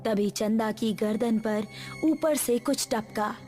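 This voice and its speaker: A woman's voice: on the high side, takes it slowly, warm tone, faint music behind. A woman reading a scripted horror story as its narrator.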